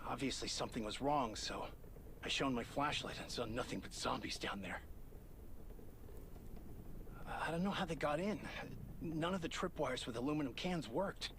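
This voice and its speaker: An actor playing an angry, grieving survivor guard in a video game, bitter but resolute. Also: male voice, medium pitch, moderate pace, pauses often, background noise, natural voice. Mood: bitter, shaken. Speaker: game character. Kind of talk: dramatic video game dialogue.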